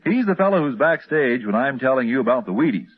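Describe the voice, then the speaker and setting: A man's voice: medium pitch, big pitch swings, quick pace, slightly rough. An American man working as an old-time radio announcer, delivering playful, showman-like commercial banter. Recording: muffled vintage radio broadcast.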